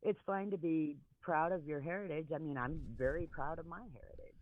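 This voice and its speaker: A man's voice: on the high side, brisk, no room sound, phone-quality sound. A man with an American accent chatting casually as a participant in a talk show. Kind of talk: casual chat.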